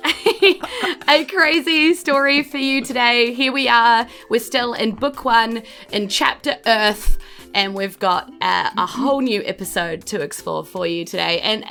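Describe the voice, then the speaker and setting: A woman's voice: a little high, vocal fry, pushed and bright. A woman with a New Zealand accent, chatting in a bubbly, welcoming way as podcast host and dungeon master. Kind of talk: chatty podcast hosting of a tabletop game.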